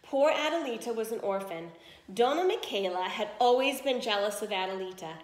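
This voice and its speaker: A woman's voice: fairly high pitch, lively intonation, a little fast, reverberant. A woman reading a picture book aloud to children like a teacher, explaining as she goes and checking understanding. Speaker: read-aloud storyteller, teacher.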